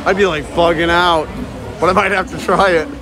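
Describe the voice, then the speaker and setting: A man talking loudly and casually to viewers as he live-streams while walking around. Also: sits high, steady tone, fairly quick. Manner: loud, chatty, talks to viewers and chat. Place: outdoors at a busy shopping area, later indoors. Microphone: phone held while walking. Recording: phone audio with crowd noise. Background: crowd chatter.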